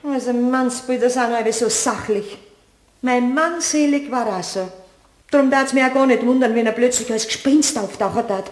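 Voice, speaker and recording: A woman's voice: a little high, normal speed, lightly acted. An actress playing a garrulous, superstitious, easily flustered widowed cleaning woman with a Bavarian accent in a radio play. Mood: spooked. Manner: garrulous, lamenting. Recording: roomy studio radio play.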